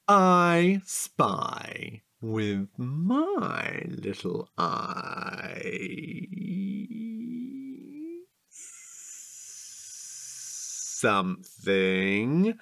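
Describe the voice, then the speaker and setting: A man's voice: mid-range voice, fairly bright tone, heavily acted. An actor playing a playful, easily bored, childishly eager man in an audio drama, indignant and teasing. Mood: playful, pleading.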